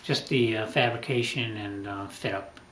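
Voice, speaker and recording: A middle-aged man hosting a boat restoration channel, explaining his project in an unhurried way, thinking aloud with many ums and uhs. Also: lowish voice, fairly even intonation, roomy sound. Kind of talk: casual talking-head explanation. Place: small indoor workshop corner.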